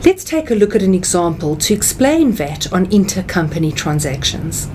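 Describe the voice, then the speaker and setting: A middle-aged South African woman explaining something step by step in a measured, instructional way, as a seminar speaker. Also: on the low side, very animated, noisy background. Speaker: tax seminar speaker and writer. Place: small indoor office or meeting room.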